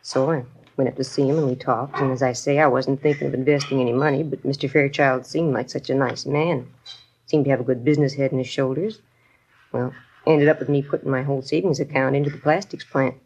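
A woman's voice: extremely low pitch, fairly even intonation, fairly quick, darkish tone. An actress playing a trusting woman shop owner who has been swindled, rambling and bewildered in a 1950s radio crime drama. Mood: dismayed, puzzled. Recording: noisy old radio recording.

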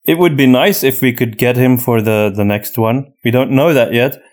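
Man with halting, mixed European-accented English. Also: mid-range voice, darkish tone. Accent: mixed European, between British and American. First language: Flemish Dutch. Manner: halting, piecing sentences together. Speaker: podcast co-host and voice actor. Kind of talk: casual improvised podcast talk.

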